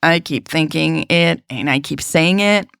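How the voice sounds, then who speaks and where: A woman's voice: low voice, a little slow, vocal fry, fairly bright tone, clear, resonant tone, no echo, studio quality, exaggerated voice. A woman playing an opinionated male podcast co-host, musing emphatically in an audio drama.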